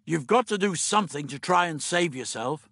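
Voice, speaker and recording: A man's voice: a little high, animated, normal speed, vocal fry, sharp tone, no echo, voice pushed up, exaggerated voice. A man voicing a firm young space traveller in a storybook, direct, urgent and questioning. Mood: snappish, urging.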